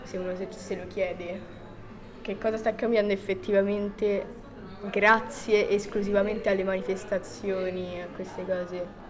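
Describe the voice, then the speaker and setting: A woman's voice: mid-range voice, little pitch movement, a little slow, crowd noise. A teenage girl being interviewed, talking casually and a little hesitantly about her views. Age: teens. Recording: music behind the voice. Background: music.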